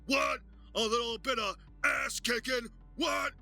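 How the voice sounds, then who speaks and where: A man voicing a brash, rowdy macho pro wrestler with a breathy, gravelly, barking in-your-face delivery. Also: very high voice, unhurried, pushed and bright, soft background music, pitched above the performer's own voice, heavily acted. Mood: brash, aggressive.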